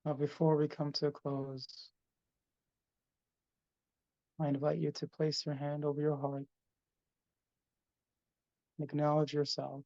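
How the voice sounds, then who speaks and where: A man hosting a live guided meditation over a video call, speaking slowly and softly. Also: fairly high pitch, monotone, vocal fry, no echo, lo-fi sound. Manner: slow, soft, soothing delivery. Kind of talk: guided meditation, then casual chat.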